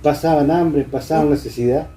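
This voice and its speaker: A man's voice: fairly high pitch, steady tone, moderate pace, crowd noise, rough recording. A man with an Argentine accent, casually chatting as a co-interviewer. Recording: faint background noise, ambient room sound.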